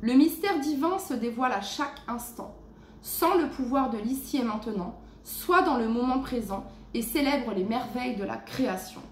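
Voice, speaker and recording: A woman giving a casual tarot reading at home, chatty and full of fillers, reading the card texts slowly and gently. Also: on the high side, lively intonation, echoey room. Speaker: tarot reader. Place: her home. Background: cleanup noise outside.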